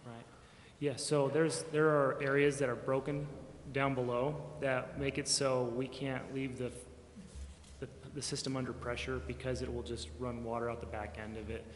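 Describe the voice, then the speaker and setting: A man reporting to a council as a staff member, explaining things informally and haltingly. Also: medium pitch, little pitch movement. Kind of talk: informal explanation at a public meeting. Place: large indoor council chamber. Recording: echoey hall, faint background noise.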